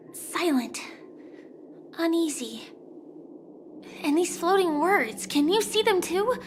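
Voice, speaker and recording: An actress playing a guarded, perceptive young woman in a video game, speaking in a measured, serious and wary way. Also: high voice.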